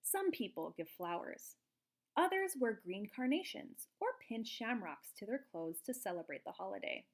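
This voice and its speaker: A woman reading a picture book aloud to young children in an expressive, explanatory way, like a teacher. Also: medium pitch, moderate pace. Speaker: children's storybook reader, teacher.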